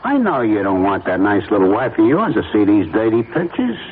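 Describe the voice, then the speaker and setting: An actor playing a falsely chummy small-time blackmailer photographer in an old-time radio drama, with folksy uneducated talk and oily friendliness. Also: male voice, fairly low pitch, wildly swooping pitch, fairly quick. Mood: mock sympathy. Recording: tinny band-limited radio recording, faint noise.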